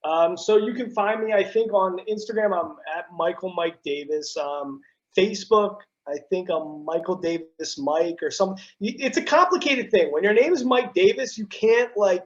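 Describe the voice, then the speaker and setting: A man in his thirties, a broadcaster, chatting casually as a guest with slangy, animated storytelling over a video call. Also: high voice, normal speed, lo-fi sound. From US East Coast. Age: 30s. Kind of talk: casual interview chat.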